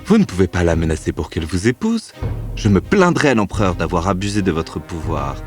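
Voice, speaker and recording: Man acting a lovestruck, animated young hero. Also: deep voice, fairly quick. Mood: determined. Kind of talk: dramatic fairy tale acting. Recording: music behind the voice.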